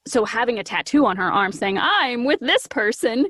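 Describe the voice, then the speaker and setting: A woman speaking as an interview guest, telling things in an animated, casual, storytelling way. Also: a little high, moderate pace, dry recording, clean studio sound.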